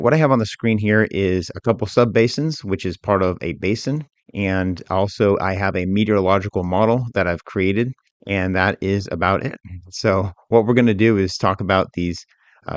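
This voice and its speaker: A man narrating a step-by-step software tutorial as an instructor, in a measured, explanatory way. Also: deep voice. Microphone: voice-over for screen recording. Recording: dull, roomy, echoing sound.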